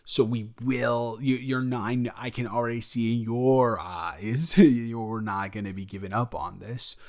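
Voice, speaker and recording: A man acts out a young male roommate with a crush in an intimate audio roleplay. He sits at an average male pitch and speaks casually to one listener. He stammers, cuts himself off and dodges the point with playful jokes. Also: fairly even intonation, measured pace, a little mellow, lightly acted. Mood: playful, teasing. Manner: rambling, stammering, self-interrupting, playful. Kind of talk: improvised boyfriend roleplay addressed to the listener.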